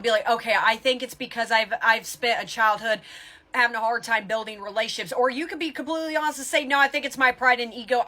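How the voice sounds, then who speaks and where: Woman, spiritual coach type, higher-pitched and sharp, forceful tough-love pep talk straight to viewers. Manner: blunt, emphatic tough-love delivery, swears casually. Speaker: tarot reader and spiritual coach.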